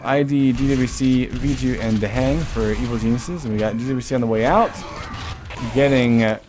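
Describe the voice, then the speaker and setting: A man's voice: medium pitch, normal speed, noisy background. A man giving casual, joking play-by-play commentary on an online game match, swearing freely. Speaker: esports commentator.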